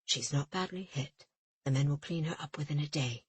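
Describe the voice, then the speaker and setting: A composed ship's officer with a polished English accent and a low, steady delivery, as a woman reads him in a storybook. Every line comes out smooth and measured, never rising, with a soft, breathy edge to the tone. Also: dry recording. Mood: calm, measured. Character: calm, smooth-spoken male ship's officer.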